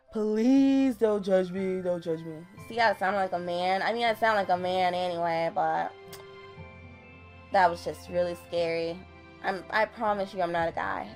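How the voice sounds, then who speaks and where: Young woman, kinda deep voice, tired late-night voiceover, chatty and rambly. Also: darkish tone. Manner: chatty, rambling, self-deprecating. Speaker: gaming YouTuber. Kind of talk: casual game voiceover. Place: home, voiceover. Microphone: desktop USB mic.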